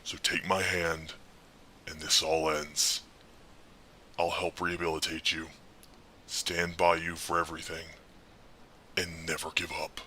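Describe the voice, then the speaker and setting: An actor playing a brooding, world-weary masked vigilante in an audio drama, with a raspy growl and a slow, grave delivery. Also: male voice, a bass voice, level tone, sharp tone, a little hiss or hum, full character voice. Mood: weary pleading.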